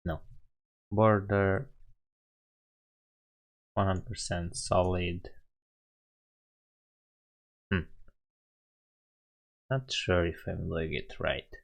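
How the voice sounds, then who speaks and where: A man's voice: low voice, steady tone, drawn-out words, gravelly, long pauses. A man with a Romanian accent hosting a live coding stream, thinking aloud and correcting himself as he types. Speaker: coding livestream host. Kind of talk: casual live coding narration.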